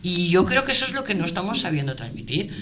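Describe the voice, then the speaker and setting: A woman speaking off the cuff in a panel debate as a business representative, emphatic but often halting and repeating words as she searches for phrasing. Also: fairly low pitch, big pitch swings, a bit bright.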